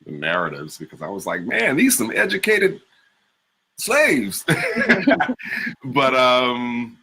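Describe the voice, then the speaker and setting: A man's voice: medium pitch, pushed and bright. A man, an actor, reflecting casually and a little hesitantly as a guest on a panel.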